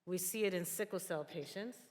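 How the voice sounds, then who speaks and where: Low-voiced woman in a reverberant hall. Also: brisk. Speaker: nurse and panel speaker. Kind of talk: earnest panel remarks. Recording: echoey hall with long reverb.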